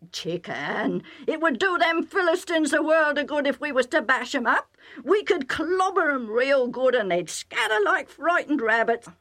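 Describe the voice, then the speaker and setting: A woman voicing an excitable male herdsman with rustic working-class speech, agitated and insistent, pleading with his master. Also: a little high, pitched above the performer's own voice.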